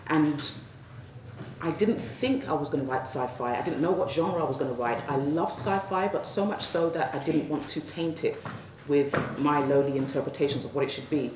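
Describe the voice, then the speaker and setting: A woman on a festival panel, speaking thoughtfully and personally about writing, slowing on key phrases. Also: deep voice, noisy background. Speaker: panelist, novelist. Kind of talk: reflective panel talk. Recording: echoey room, mic at a distance, faint ambience.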